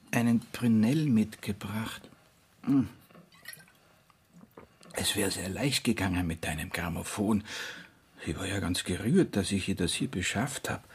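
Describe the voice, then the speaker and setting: An actor in a German radio play, playing a brooding, resigned man with a husky, breathy voice that lapses into half-whisper, musing haltingly. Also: on the low side, full character voice.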